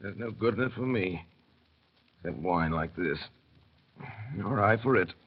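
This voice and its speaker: Bitter boozy english gent, old radio drama, slurry drawl, voice all over the place, muffled broadcast. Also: male voice, sits low, lively intonation, measured pace, husky, exaggerated voice. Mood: sardonic. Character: dissolute, bitter, hard-drinking English gentleman, a self-loathing drudge. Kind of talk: 1930s radio drama acting.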